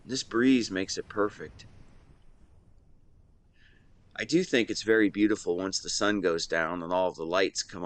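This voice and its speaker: A man playing an affectionate, playful young best friend in a romantic audio roleplay, speaking to the listener in a teasing, tender, expressive way. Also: on the low side, no room sound. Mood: relaxed, content.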